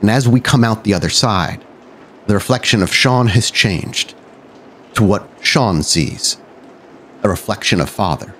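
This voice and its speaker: Low-voiced man narrating as game master. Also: measured pace, long pauses, fairly bright tone, slight background noise. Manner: dramatic, cinematic scene-setting. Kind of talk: narrating a tabletop roleplay podcast.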